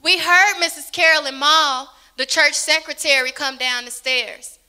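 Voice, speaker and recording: A woman playing a young girl, speaking with measured dramatic pauses into a handheld mic. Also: sits high, fairly even intonation, bright, forceful tone, full character voice. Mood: solemn. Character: young girl victim, solemn. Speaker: actor in a church skit.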